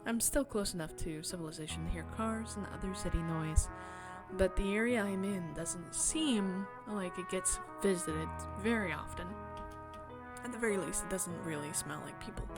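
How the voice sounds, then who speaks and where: A woman streaming a visual novel, reading the narration aloud in a casual way with her own commentary. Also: mid-range voice. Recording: game music behind the voice. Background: guitar game music.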